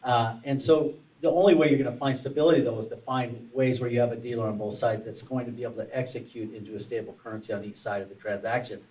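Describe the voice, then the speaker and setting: A man's voice: mid-range voice, fairly even intonation, fast talker, few pauses, a little mellow. Man explaining on a forum panel, distant mic. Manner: explanatory, repeats and restarts phrases. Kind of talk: panel discussion. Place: conference room at a forum.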